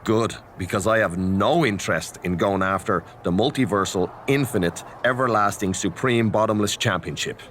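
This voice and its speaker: An actor playing a plain-spoken, sardonic pro wrestler in casual video game dialogue. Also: male voice, fairly low pitch, moderate pace, hardly any pauses, a bit bright, slight background noise, close to an everyday voice. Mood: dry, teasing.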